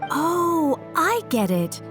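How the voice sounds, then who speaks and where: A woman playing a polite, earnest professional from a craft business in a video game, friendly and expressive. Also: extremely high pitch, drawn-out words, heavily acted. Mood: impressed. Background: background music.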